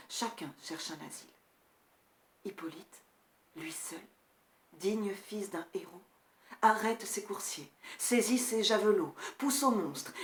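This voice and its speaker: A woman performing a grief-stricken male tutor in a classical verse tragedy, solemn and measured, moving between hushed and forceful delivery. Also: medium pitch, echoey room, close to an everyday voice. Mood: urgent, horrified. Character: grief-stricken male tutor recounting his prince's horrific death. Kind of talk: dramatic classical French verse recitation.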